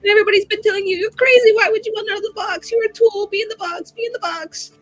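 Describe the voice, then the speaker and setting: Woman, extremely high pitch, emotive halting delivery, casual roleplay dialogue, music behind. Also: fairly even intonation. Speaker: tabletop RPG player. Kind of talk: in-character improvised dialogue at actual-play game. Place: online streamed game session.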